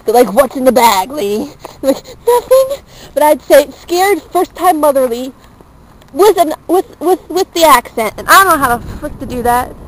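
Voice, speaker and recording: High-voiced chatty young woman vlogging. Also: sing-song, normal speed, low-quality recording. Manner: chatty, jokey, self-mocking. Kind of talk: casual chat while walking. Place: outdoors on a small-town street, later indoors in a wood-paneled room. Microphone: handheld camera held close.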